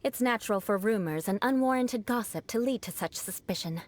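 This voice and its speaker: A woman's voice: fairly high pitch, animated, a little fast, lightly acted. A woman playing a proud young noblewoman knight in an anime game, haughty, formal and theatrical in her delivery. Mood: proud, composed.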